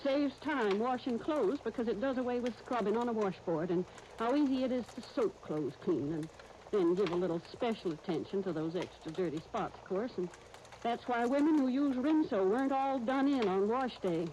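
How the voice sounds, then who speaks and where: An actress playing a folksy, bossy older dressmaker, rambling through stories briskly in a 1930s radio advertising skit. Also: female voice, fairly high pitch, expressive, warm tone, lo-fi sound, natural voice. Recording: hissy 1939 radio disc recording.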